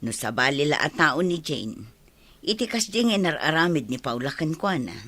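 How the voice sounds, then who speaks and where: A woman's voice: deep voice, very animated, normal speed, a bit bright, husky, exaggerated voice. An actress with a Filipino accent playing an opinionated, gossipy village woman in a radio drama.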